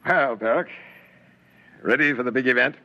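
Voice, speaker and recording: An actor playing a driven, authoritarian alien commander in a clipped, theatrical 1950s radio drama style. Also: male voice, medium pitch, normal speed, creaky, dull audio, lightly acted. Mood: calm, intent. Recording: noisy old radio recording.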